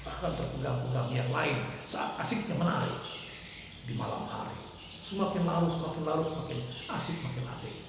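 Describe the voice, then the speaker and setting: A man telling a folk legend in a halting, repetitive oral storytelling manner. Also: on the high side. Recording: echoey room with loud background noise.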